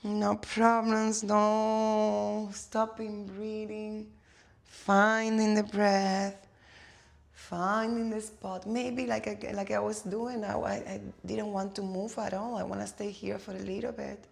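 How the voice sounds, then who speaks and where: A woman from Cuba with a Cuban Spanish accent, a native Spanish speaker, guiding a yoga class with slow, soothing cues and playful asides. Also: mid-range voice, little pitch movement, creaky. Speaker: yoga instructor.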